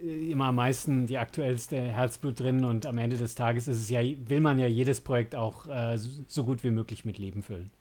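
A man's voice: mid-range voice, steady tone, moderate pace, hardly any pauses, a little mellow. A man, talking casually as a podcast co-host. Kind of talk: casual chat.

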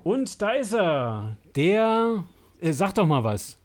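A man's voice: sits high, big pitch swings, slow pace, background noise. A man hosting a podcast, welcoming and questioning a guest in casual conversation. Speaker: podcast co-host. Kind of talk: casual chat, interviewing.

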